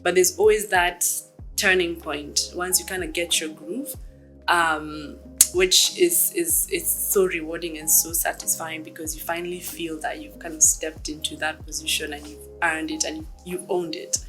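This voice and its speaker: A woman in her thirties from Kenya, a startup executive giving reflective, measured interview answers with frequent ums. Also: low voice, fairly bright tone, soft background music, poor audio.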